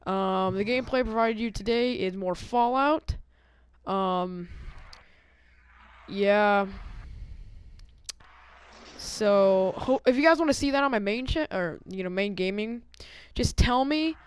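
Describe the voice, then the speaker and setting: Young male gaming host, casual slangy storyteller with a clean, smooth voice, recorded close and dry. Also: high voice, takes it slowly, long pauses, no echo. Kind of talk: casual storytelling over gameplay.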